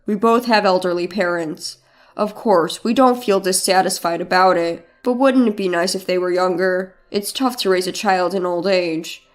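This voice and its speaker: A woman's voice: on the low side, moderate pace, voice pushed down, lightly acted. A woman narrator voicing a domineering, demanding husband in a story, with a bossy, lecturing manner. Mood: persuading.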